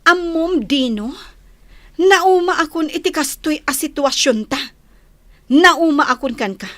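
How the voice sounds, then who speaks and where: A woman's voice: high-pitched, animated, unhurried, a bit bright, no room sound. An actress playing a weary young mother who is fed up with her marriage, in a radio drama.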